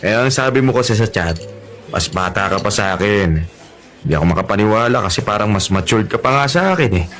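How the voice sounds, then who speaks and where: An actor with a Filipino accent playing a shy, flustered single dad in a radio drama, hesitant and apologetic. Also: male voice, fairly low pitch, lively intonation, fast talker, fairly bright tone, soft background music. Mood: shy, earnest. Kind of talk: Tagalog radio drama acting.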